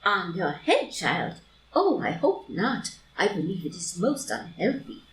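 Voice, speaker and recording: A woman's voice: lowish voice, very animated, unhurried. A woman acting a worldly, chatty older titled lady in a group play reading, genteel and mildly scolding. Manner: genteel, opinionated pronouncements. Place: live in-person group reading in a room. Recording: roomy, distant live reading, noisy.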